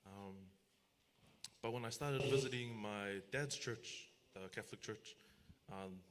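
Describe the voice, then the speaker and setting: A man from Tonga gives an informal personal testimony to a congregation in a hesitant, casual, halting way. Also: on the low side. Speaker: church testimony speaker. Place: church, speaking to congregation. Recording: echoey hall, loud background noise.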